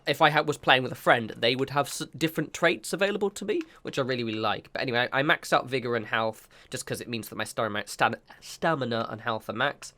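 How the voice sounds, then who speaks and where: A man's voice: mid-range voice, quick pace, hardly any pauses, sharp tone. A man casually commenting on a game he is playing, sniffly from a recent cold, rambling and correcting himself as he goes. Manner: rambling, self-correcting, chatty asides. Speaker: gaming channel host.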